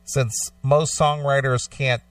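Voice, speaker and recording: A man co-hosting a talk show, alternating between read-out news and casual discussion in a measured, agreeable, matter-of-fact way. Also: medium pitch, flat delivery, dry recording, far from the mic.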